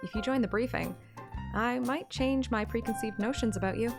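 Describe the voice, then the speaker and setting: A woman's voice: on the high side, normal speed, creaky. Playful woman streamer over music. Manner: playful, self-mocking. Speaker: streamer playing and voicing a story game. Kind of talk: live stream chat and dramatic read-aloud narration. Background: game music.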